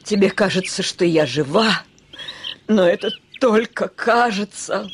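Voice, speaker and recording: An actress playing a young landowning widow in a radio play, theatrical and solemnly, proudly mournful. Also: female voice, on the high side, slight background noise, natural voice. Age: young adult. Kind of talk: Russian radio play acting. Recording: studio radio play with soft music.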